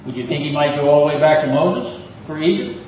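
A man lecturing on the Bible in a classroom, explaining didactically with rhetorical questions and frequent throat clearing. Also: on the high side, brisk, a little mellow, a little hiss or hum. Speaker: seminary lecturer. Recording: slightly dull classroom recording.

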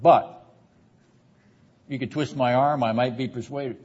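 A man lecturing on the Bible in a classroom, explaining didactically with rhetorical questions and frequent throat clearing. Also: mid-range voice, fairly even intonation, unhurried, darkish tone. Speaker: seminary lecturer. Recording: slightly dull classroom recording.

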